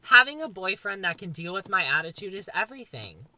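A young man with a very high voice, co-hosting a casual chat and joking in a campy, playfully dramatic way. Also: sing-song, brisk, bright, forceful tone, no room sound, poor audio. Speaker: podcast co-host.

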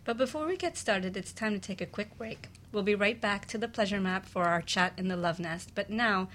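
A woman's voice: mid-range voice, normal speed, a bit bright. A woman co-hosting a radio talk show, reading prepared links and chatting with the host. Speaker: guest co-host. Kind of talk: semi-scripted radio co-hosting. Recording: clearly audible ambient background noise.

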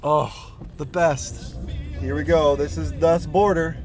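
A young man with a fairly high voice records a casual audio log on location. He is excitable and chatty. Music and street sounds sit clearly behind him. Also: takes it slowly. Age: young adult. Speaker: student making an audio travel log. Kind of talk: casual chat and field commentary. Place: on location during a road trip.

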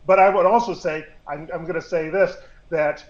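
An older man speaking off the cuff on a panel, halting as he searches for words, recorded with a roomy, slightly dull sound. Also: fairly high pitch, steady tone. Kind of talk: spontaneous panel discussion.

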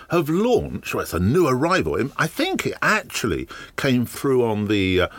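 Man, slightly croaky, low-pitched, chatty podcast host, dry close sound. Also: fairly bright tone, no room sound. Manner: chatty, wry asides, frequent ums and uhs. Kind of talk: casual solo podcast monologue. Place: garden shed.

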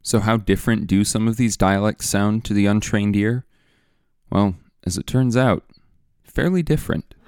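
A young man narrating a scripted documentary, measured and explanatory, often putting questions to the listener. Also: on the low side, darkish tone, clear, resonant tone, dry recording, clean studio sound. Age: young adult. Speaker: student radio producer and narrator. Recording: faint music underneath.

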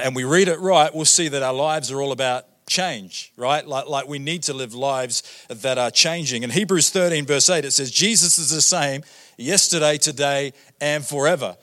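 A man in his thirties with a New Zealand accent preaches a relaxed, joking sermon as a visiting pastor in a church. His voice sits in a middle pitch, and he leans on fillers and "right?" tags as he talks to the congregation. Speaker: guest pastor. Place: church auditorium.

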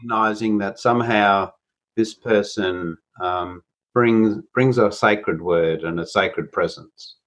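Interviewer, man, lowish voice, thoughtful and unhurried. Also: fairly bright tone. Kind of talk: gentle probing questions.

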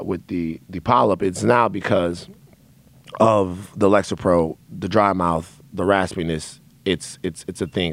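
A man with a low, gravelly, raspy voice and a dry throat hosts his podcast in a candid, rambling monologue. The sound is close and dry, with no room echo. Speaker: podcast host. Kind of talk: casual monologue to listeners.

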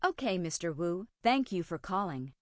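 A woman's voice: lowish voice, very animated, normal speed, no echo. An American woman hosting a radio show in scripted English-teaching dialogue.

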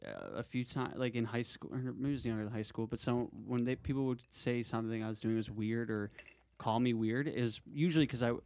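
A man's voice: medium pitch, expressive, brisk, a little mellow, dry recording. Rambling, jokey man in casual chat. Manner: rambling, jokey, self-quoting.

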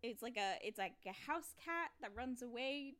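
Young woman co-host, lively animated pitch, rambling and giggly. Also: moderate pace. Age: young adult. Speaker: podcast co-host. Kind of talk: casual chat.

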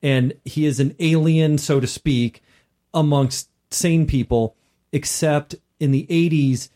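A man with a slightly high voice talks as a podcast co-host. He is informal and opinionated, putting his points bluntly and with emphasis in loose, conversational speech. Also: fairly even intonation, takes it slowly, mellow. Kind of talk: casual opinionated chat.

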